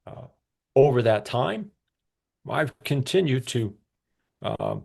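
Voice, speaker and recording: A man speaking as an expert guest in an interview, explaining in measured, halting short phrases. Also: mid-range voice, expressive, gravelly, darkish tone. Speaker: guest expert, brain scientist.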